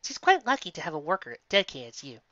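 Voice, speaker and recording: A woman's voice: deep voice, sing-song, fast talker, fairly bright tone, no echo. Woman doing a sweet motherly pony shopkeeper. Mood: kindly. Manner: sweet, doting, calls everyone dear. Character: gentle motherly mare candy-shop owner. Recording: slightly muffled recording.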